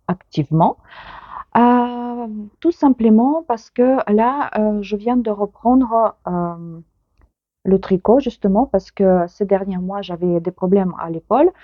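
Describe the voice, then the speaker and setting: A woman in her thirties speaks with a heavy Ukrainian accent in a relaxed vlog chat, halting often and starting sentences over. Her voice sits at an ordinary middle pitch. The recording is dry and a little dull, with no room sound. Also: dry recording. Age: 30s. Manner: hesitant, many pauses and restarts. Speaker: knitting vlogger. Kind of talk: casual chat to camera.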